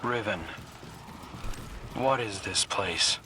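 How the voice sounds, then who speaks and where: A haunted male spymaster from a video game, talks in a low, guarded voice. He sounds suspicious and questioning, over a clearly noisy ambient background. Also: very slow. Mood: wary, suspicious.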